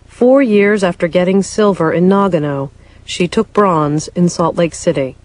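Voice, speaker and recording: A woman giving measured, formal, scripted narration for a sports documentary. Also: on the low side, a little mellow, no echo. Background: music.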